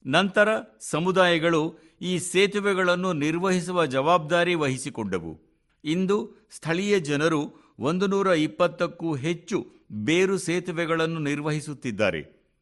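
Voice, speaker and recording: A man reads a formal scripted address for radio, in measured, deliberate phrases with clear pauses. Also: fairly high pitch, fairly bright tone. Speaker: radio reader of a translated speech.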